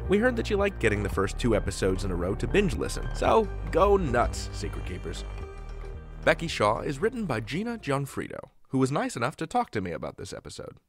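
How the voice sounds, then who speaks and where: A man's voice: medium pitch, huge pitch swings, brisk, soft background music. A man hosting a podcast, moving between playful scripted announcements and interview questions. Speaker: podcast host.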